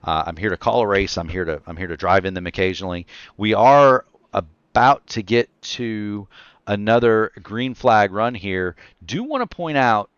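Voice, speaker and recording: A man calling a virtual stock car race as play-by-play commentator, quick and excitable on the action, his voice getting tired. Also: fairly low pitch, smooth, dry recording, dull audio. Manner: rapid excited play-by-play, self-correcting. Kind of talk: sim racing commentary.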